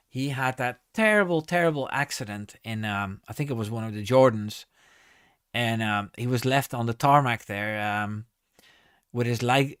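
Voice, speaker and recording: A man's voice: medium pitch, a bit bright, smooth, dry recording, clean studio sound. A man hosting a casual live stream, reading viewer comments aloud and answering with many ums and restarts.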